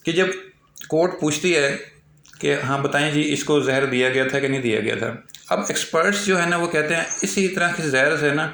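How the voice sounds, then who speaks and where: A man's voice: fairly high pitch, fairly bright tone. Pakistani man lecturing in an echoey room. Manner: slow, halting when reading English aloud. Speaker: law lecturer. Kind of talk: explanatory lecture, reading out articles then explaining.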